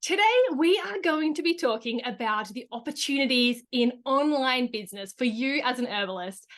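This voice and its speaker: A woman's voice: sits high, expressive, normal speed, fairly bright tone. A woman hosting an interview, enthusiastic and chatty, with many casual likes. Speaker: host. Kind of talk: interview host.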